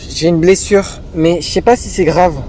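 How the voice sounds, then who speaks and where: A man's voice: high voice, fairly even intonation, moderate pace, mellow, slight background noise, natural voice. A man playing a blunt, wary young survivor in an amateur film, speaking in everyday dialogue. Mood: pained.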